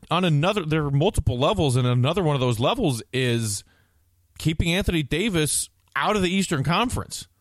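A man's voice: mid-range voice, no room sound. An American man co-hosting a sports podcast, giving blunt, emphatic opinions on basketball in a conversational way.

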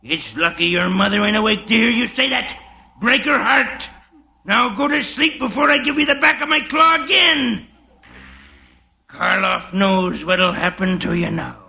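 Sharp, scolding werewolf dad. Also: male voice, sits high, measured pace, vocal fry, full character voice. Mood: indignant. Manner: scolding, folksy, ungrammatical. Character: gruff, scolding old-fashioned werewolf father. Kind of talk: 1950s radio comedy acting. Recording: noisy 1950s radio recording.

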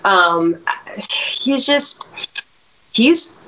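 A woman's voice: fairly high pitch, lively intonation, very slow, pushed and bright, rough recording. A woman in her thirties, a singer, talking reflectively in a casual interview with many fillers and restarts.

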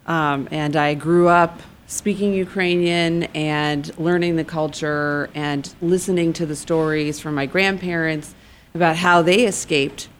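Earnest low-voiced woman guest. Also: fairly even intonation, slight background noise. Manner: earnest, halting, many fillers. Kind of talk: interview answers.

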